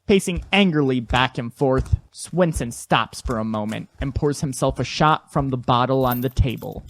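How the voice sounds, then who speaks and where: A woman's voice: extremely low pitch, normal speed. A woman narrating an audio drama from a script. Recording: clear background noise and clicks.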